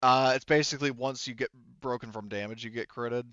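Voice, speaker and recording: Smooth-voiced man running a tabletop game. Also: mid-range voice, fairly quick, fairly bright tone, no room sound, dull audio. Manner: casual, profane. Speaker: game master. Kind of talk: casual tabletop game narration.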